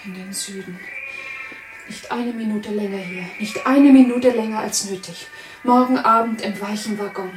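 An actress playing a dry, sceptical, restless new bride in a German radio play, wry and brusque. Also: female voice, medium pitch, normal speed, close to an everyday voice. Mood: impatient. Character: newly married woman, dry, sceptical, restless. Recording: roomy, faint music behind.

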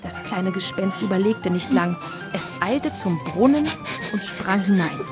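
A woman narrating a children's story from a script in an expressive storytelling manner. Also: medium pitch, measured pace. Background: music.

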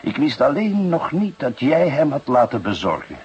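An actor playing a genial, shrewd middle-aged Dutch police inspector in a radio drama, with drawn-out interjections and an avuncular way of talking. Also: male voice, mid-range voice, big pitch swings, a little mellow, lo-fi sound, lightly acted. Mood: calm, reassuring. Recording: noisy, dated radio play recording.

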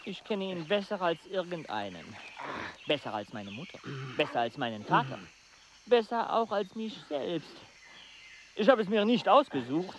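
A man in a radio play acting a weary travel companion who narrates in a measured, reflective way. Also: high-pitched, sing-song, a bit bright, a little hiss or hum, full character voice. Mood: weary, resigned.

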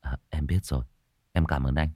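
A man's voice: low voice, unhurried, darkish tone, no room sound, studio quality. A man voicing a troubled young man in an audiobook, speaking in an earnest, weary and respectful way. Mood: dejected, respectful.

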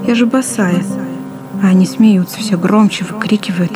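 A woman's voice: mid-range voice, normal speed, lightly acted. A woman playing a terrified pregnant village mother, dazed with dread, in an audio drama monologue. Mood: terror. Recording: music behind the voice.

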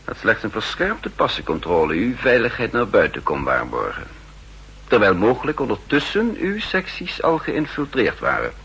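An actor playing a shrewd, self-assured chief of counter-espionage in a 1960s radio drama, pedantic, dryly ironic and in command. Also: male voice, fairly low pitch, wildly swooping pitch, normal speed, a bit bright, breathy edge, heavily acted. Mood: assured, explaining. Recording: old 1960s radio recording.

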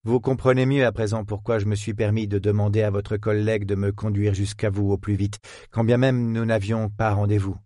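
A man voicing a polite, witty male detective who speaks in a measured, courteous way. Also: on the low side, level tone, dark tone, no room sound, clean studio sound, natural voice.

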